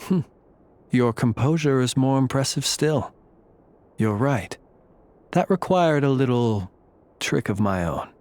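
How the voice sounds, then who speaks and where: A man playing a composed, analytical, enigmatic warrior in a video game, speaking in a measured, formal, solemn manner. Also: mid-range voice, animated, a little mellow. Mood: calm, analytical. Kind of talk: video game character acting. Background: music.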